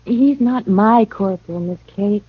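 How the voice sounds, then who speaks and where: A woman playing a young, coy, guarded American dance hall girl in 1950s western radio style, with a breathy voice. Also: medium pitch, unhurried. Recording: tinny, band-limited vintage radio recording.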